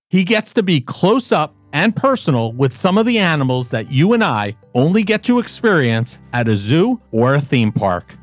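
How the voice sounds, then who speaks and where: A man's voice: fairly high pitch, no echo. A man hosting an interview podcast, introducing and talking with his guest. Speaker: podcast host.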